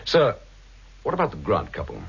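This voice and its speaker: An actor playing a humane, hard-hitting Scotland Yard detective, brisk and thoughtful, in 1960s radio drama style. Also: male voice, low-pitched, huge pitch swings, creaky, husky, exaggerated voice. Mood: businesslike. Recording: muffled vintage radio recording.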